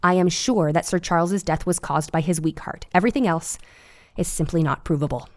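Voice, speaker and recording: An actress playing an earnest, eccentric Victorian woman doctor in an audio drama, telling things in a formal, deliberate way. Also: on the low side, darkish tone, no echo, close to an everyday voice. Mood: earnest, worried.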